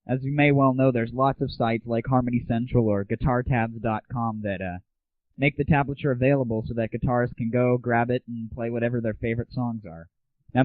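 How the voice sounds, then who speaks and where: A man's voice: medium pitch, no room sound. Muffled lo-fi recording of an irreverent man hosting. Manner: crude, sarcastic, irreverent. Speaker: host of a solo internet audio show. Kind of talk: casual scripted monologue about games and the internet. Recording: muffled lo-fi 1990s internet audio, dry.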